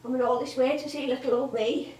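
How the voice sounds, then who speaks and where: An actress playing an elderly English music hall comedienne on stage, chatty, rambling and nostalgic. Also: female voice, high voice, steady tone, brisk, darkish tone, lightly acted. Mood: wistful. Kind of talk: theatrical stage monologue and dialogue. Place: theatre stage. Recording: echoey theatre, distant mic.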